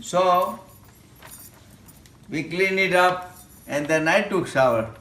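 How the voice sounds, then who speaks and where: A man's voice: high-pitched, takes it slowly, pushed and bright. Chuckling man, echoey room. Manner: amused anecdote, chuckling. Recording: echoey room, faint ambience.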